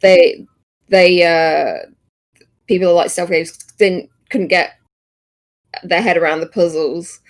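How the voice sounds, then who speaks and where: A woman co-hosting a podcast, chatting casually about games in a hesitant, halting way. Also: lowish voice. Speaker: podcast co-host.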